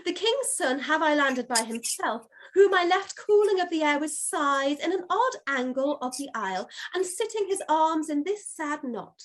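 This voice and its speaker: A woman's voice: high voice, lively intonation, normal speed, hardly any pauses, low-quality recording. A woman playing an airy servant spirit in a Shakespeare reading, declaiming verse in an eager, deferential, theatrical way. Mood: eager, proud.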